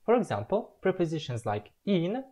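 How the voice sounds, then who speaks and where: A young man from Russia, explaining the words he has learned slowly and carefully in a self-recorded lesson at home on a webcam. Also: high-pitched, sing-song, mellow, breathy edge. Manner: slow, careful, drawn-out word-by-word explanation. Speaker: language learner presenting his progress.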